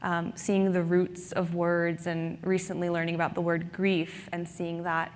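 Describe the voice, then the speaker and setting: A woman speaking on a writers' panel, reflective and halting, with many fillers. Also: lowish voice, flat delivery, big-room sound, slight background noise. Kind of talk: panel discussion. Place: conference stage.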